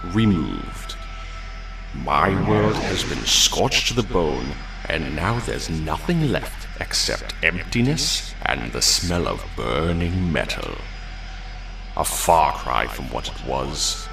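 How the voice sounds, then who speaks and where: A brooding, battle-worn robot warrior in a motion comic. The voice is very low and gravelly, grave and solemn in delivery. It sits a little far from the mic under loud music. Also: male voice, exaggerated voice. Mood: mournful, grandiose. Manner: grave, slow, solemn monologue. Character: brooding male robot warrior mourning his lost world.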